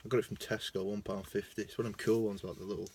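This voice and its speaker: Young Lancashire man, lowish voice, chatty and self-mocking, filming on his phone in his car. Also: little pitch movement, very fast, slightly rough. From Lancashire, England. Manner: chatty, self-mocking, swings between loud bursts and mumbling asides. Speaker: vlogger. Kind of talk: casual rambling monologue. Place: parked car.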